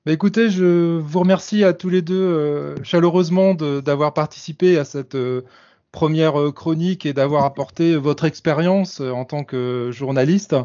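A man's voice: fairly high pitch, moderate pace, mellow. A man hosting a radio interview, polite and gracious as he sums up and thanks his guests. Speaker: host. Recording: dull lo-fi sound.

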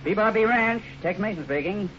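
An actor playing a steady, fatherly ranch foreman in a radio western, earnest and authoritative. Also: high voice, fairly quick, close to an everyday voice. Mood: genial. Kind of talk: 1950s radio western acting. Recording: muffled, noisy 1950s radio recording.

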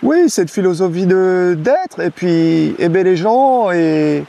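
A man from French Guiana talking casually as an interview guest, relaxed and hesitant, with frequent restarts and fillers. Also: high voice, takes it slowly, vocal fry, muffled recording.

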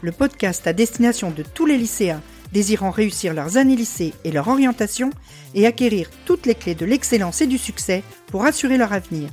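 A woman's voice: medium pitch, animated, a little fast, a little mellow, faint music behind. A woman hosting a podcast as a school coach, reading a scripted introduction and then chatting informally with fillers.

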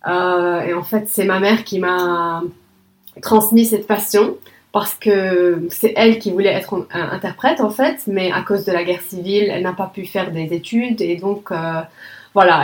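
A woman's voice: on the low side, darkish tone, roomy sound. A woman from Lebanon whose first language is Arabic, a conference interpreter explaining her work methodically with frequent filler sounds.

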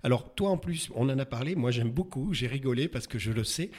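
Husky chatty male interview host. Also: mid-range voice, lively intonation, a little fast, darkish tone. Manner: chatty, repeats words, hesitant fillers. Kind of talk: casual interview, warm banter.

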